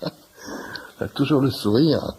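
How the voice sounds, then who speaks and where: A husky, fussy know-it-all man. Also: medium pitch, slow, a little mellow, full character voice. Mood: chatty, eager. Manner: fussy, repetitive, self-important know-it-all. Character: chatty meddling long-time patient playing amateur doctor. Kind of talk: French radio comedy drama acting.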